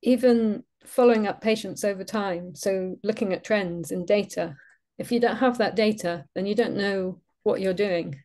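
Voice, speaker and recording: A British woman in her forties, a neurosurgeon, gives a measured, reflective webinar talk over a video call. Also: mid-range voice, darkish tone. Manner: measured, reflective, with frequent ums. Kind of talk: webinar presentation. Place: indoor room, video call.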